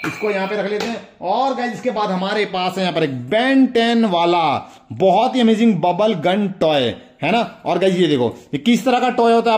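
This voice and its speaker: A man's voice: sits high, noisy background. A young man with an Indian accent hosting a casual hands-on review, talking enthusiastically and repetitively straight to viewers. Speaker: toy review video host. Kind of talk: casual hands-on product demonstration.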